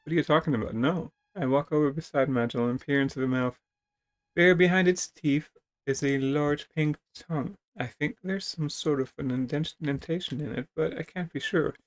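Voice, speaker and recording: A man's voice: a little high, moderate pace. A man reading a text adventure game aloud as a dramatic reading, with casual asides. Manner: expressive, acting out dialogue.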